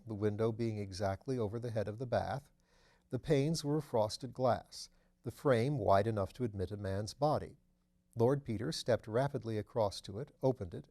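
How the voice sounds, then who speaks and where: A man narrates an audiobook in a soft-edged, mellow voice of medium pitch. His phrasing is measured and careful, suited to literary prose. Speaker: audiobook reader.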